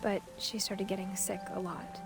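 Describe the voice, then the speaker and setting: An actress playing a reflective, grieving young woman who narrates a video game in a quiet, measured way. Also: medium pitch, flat delivery, creaky, natural voice. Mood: reflective, sad. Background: game music.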